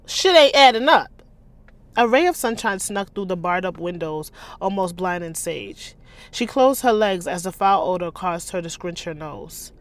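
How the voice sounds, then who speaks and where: A woman's voice: lowish voice, animated, moderate pace, dry recording. A woman author reading her own novel aloud, with sassy, incredulous, slangy commentary in a casual style.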